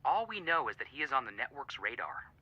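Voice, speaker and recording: An actor playing an earnest, excitable male detective friend with a punning streak in a video game, dramatic, heard through a phone filter. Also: mid-range voice, fairly quick, dry recording, dull audio, exaggerated voice. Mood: serious.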